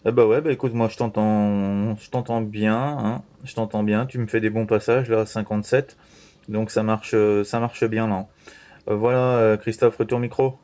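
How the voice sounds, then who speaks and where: A man chatting casually as an amateur radio operator, halting and repeating himself with frequent verbal tags. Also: on the low side, steady tone, warm tone. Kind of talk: casual CB radio contact chat.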